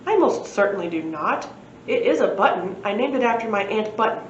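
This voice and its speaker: A woman's voice: medium pitch, expressive, a little fast, lightly acted. A woman reading a storybook, voicing a pompous, earnest male dinosaur professor with a formal, wordy, matter-of-fact manner. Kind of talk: storybook read-aloud. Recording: echoey room, muffled, faint noise.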